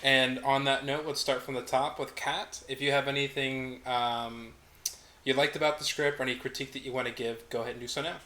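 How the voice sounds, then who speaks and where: A man's voice: mid-range voice, flat delivery, fairly quick, bright, forceful tone. A man hosting an online script table read, joking and laughing easily between directions. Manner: jokey, laughs easily, clears throat. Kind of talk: casual livestream chat. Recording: roomy home mic with faint noise.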